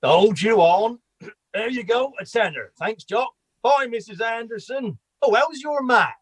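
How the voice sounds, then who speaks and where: Male actor as amiable village bloke, high-pitched for a man, chatty, mild, dry close sound, radio comedy. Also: lively intonation, a little slow, a bit bright, breathy edge, no room sound, exaggerated voice. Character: genial, slightly hapless village man, a regular customer. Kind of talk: comedy radio play read online.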